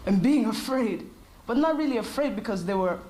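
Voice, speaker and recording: A woman, thoughtfully answering interview questions in a measured way with short pauses. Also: fairly high pitch, expressive, dark tone, slight background noise, rough recording. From Kenya. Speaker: interview guest.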